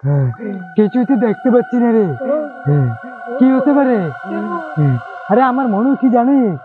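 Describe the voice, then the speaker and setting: Male actor, eager village fisherman for comic horror skit: very high, exclaiming voice, muffled recording, music behind. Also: normal speed. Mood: puzzled, uneasy. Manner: excited, repetitive exclaiming. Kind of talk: amateur Bengali horror-comedy skit acting. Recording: dull lo-fi sound with loud music.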